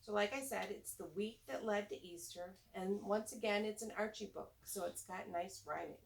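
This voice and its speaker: A woman reading a rhyming children's storybook aloud, with deliberate, teacher-like delivery and simple asides to young listeners. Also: medium pitch, creaky, far from the mic.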